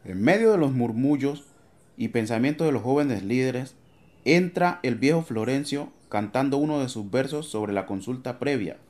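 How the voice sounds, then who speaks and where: A man's voice: mid-range voice, animated, moderate pace, a little mellow. A man narrating a scripted radio drama as its storyteller.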